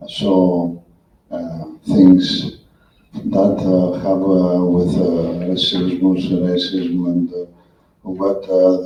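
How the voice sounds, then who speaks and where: A man from Greece with a Greek accent, asking a halting question from the audience at a conference, recorded from far off in a hall. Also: sits low, dark tone, slight background noise. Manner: halting, many fillers and restarts. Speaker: audience member. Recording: roomy hall, far from the mic, dull.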